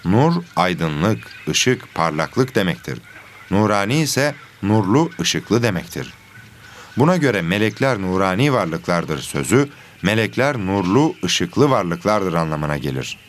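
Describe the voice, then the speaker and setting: A man playing a patient religion teacher in an educational children's cartoon, explaining things clearly and didactically. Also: low voice, very animated, measured pace, slight background noise. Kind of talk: scripted educational cartoon dialogue.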